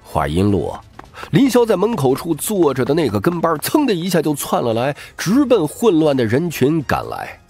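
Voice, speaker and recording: A man's voice: a little high, wildly swooping pitch, normal speed, darkish tone, slightly rough, soft background music. A man narrating scripted fiction as a dramatic audiobook reader.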